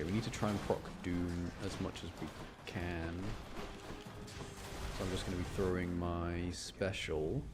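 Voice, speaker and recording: A man's voice: low-pitched, noisy background. A man giving casual, chatty live commentary as he streams a video game, talking to his viewers. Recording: music behind the voice.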